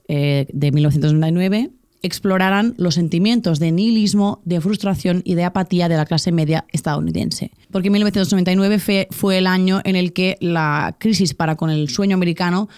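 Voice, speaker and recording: A woman's voice: lowish voice, brisk, a little mellow, smooth, no room sound, studio quality. A woman hosting a podcast, delivering a scripted cultural commentary in an essay-like way with the odd filler. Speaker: podcast host.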